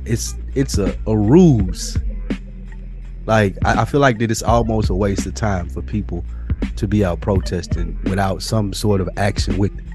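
A low-voiced man in a casual podcast discussion, blunt and opinionated. He swears freely and pushes his argument with rhetorical questions. Music plays clearly behind him. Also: normal speed. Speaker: podcast co-host.

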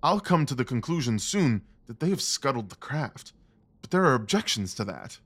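A man acting a restless, commanding gentleman detective in an audio drama, speaking formally and with intense emphasis. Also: mid-range voice, big pitch swings, normal speed. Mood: frustrated, restless.

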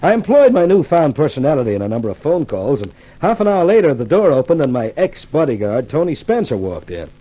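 Wry, cocky newspaperman, 1950s radio thriller, dramatic and animated, warm tone. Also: a little high, brisk, hardly any pauses, muffled recording. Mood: wry narration. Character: wisecracking newspaper columnist, timid man turned cocky.

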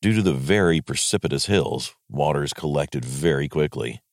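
Man acting American army general, very deep voice, clipped matter-of-fact diary entries, gravelly, dry studio sound. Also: expressive, moderate pace, dry recording. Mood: terse, composed. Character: male US Army general writing a terse wartime diary. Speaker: actor reading a wartime diary. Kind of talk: dramatic diary reading.

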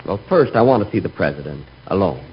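An actor playing an earnest, nervous, naively odd bank customer in a 1940s radio comedy. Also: male voice, low-pitched, big pitch swings, fast, breathy edge, full character voice. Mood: earnest, uneasy. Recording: very noisy, muffled 1940s radio recording.

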